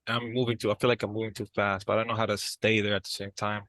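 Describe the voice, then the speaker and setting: A man playing a frustrated, unsure brother in a dramatized therapy session, talking in a halting, hesitant way. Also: fairly low pitch, level tone, fairly bright tone, no room sound, close to an everyday voice. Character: frustrated, unsure brother struggling to keep a job.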